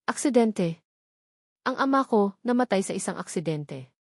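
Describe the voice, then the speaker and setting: A betrayed wife , acted by a woman narrator, speaks in an audio drama. She is accusing, bitter and emotional. Her voice is medium in pitch, with a dry, close sound. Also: animated, moderate pace, dry recording. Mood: bitter, hurt.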